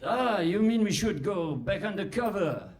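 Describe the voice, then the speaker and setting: An actor playing a cocky, scatterbrained self-styled criminal mastermind, breezy and dismissive, in a comic radio play. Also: male voice, high-pitched, very animated, normal speed, recorded from a distance. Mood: cocky, confused. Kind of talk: comic live radio theatre. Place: hall stage before live audience.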